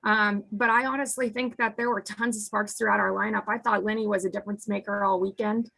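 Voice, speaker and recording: A woman in her thirties, an American softball head coach, answering postgame press questions in an earnest way with a husky voice that is hoarse from screaming. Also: medium pitch, steady tone, brisk. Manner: earnest, restarts sentences often.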